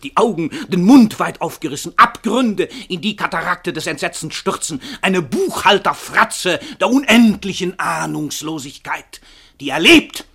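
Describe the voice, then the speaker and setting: An actor playing a pompous, cold-blooded famous crime writer in a radio play, declaiming in a grand, commanding way. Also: male voice, a little high, gravelly, a bit bright, husky, heavily acted. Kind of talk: German radio play acting.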